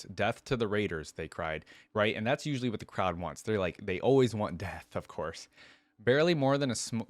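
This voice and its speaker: A man with a lowish voice hosts a reaction video in a very dry recording. He reads a fantasy tale aloud and breaks off for casual commentary. His delivery is conversational, full of asides and questions to the listener. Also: no echo.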